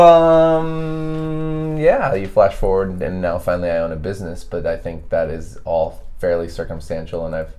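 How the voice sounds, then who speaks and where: A man in his thirties, a podcast guest, chatting casually in a loud, energetic and jokey way. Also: low-pitched. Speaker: guest, coffee business owner.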